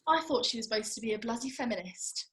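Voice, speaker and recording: A woman's voice: a little high, animated, quick pace, fairly bright tone. An actress playing a blunt, indignant young British woman with colloquial, sweary speech in a comedy script read. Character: blunt, indignant young woman, film-loving Austen festival-goer.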